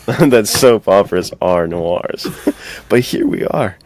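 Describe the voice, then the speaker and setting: Deep-voiced man in casual podcast chat. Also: slow, darkish tone, a little hiss or hum. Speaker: podcast co-host.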